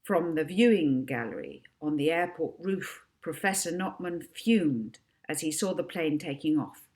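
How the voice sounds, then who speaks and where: A woman's voice: low voice, a little slow, a little mellow. A woman narrating a children's storybook expressively, as an author reading her own book.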